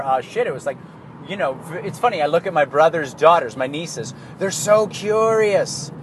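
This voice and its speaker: A Canadian man talking in a casual, rambling monologue, swearing freely and laughing at himself. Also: fairly high pitch. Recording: street and car noise behind.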